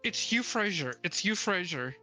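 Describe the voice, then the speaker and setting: Woman co-host, low voice, casual rambling talk, soft music behind. Livestream chat. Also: lively intonation, normal speed. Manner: rambling, searches for names, laughs often. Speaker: book club co-host.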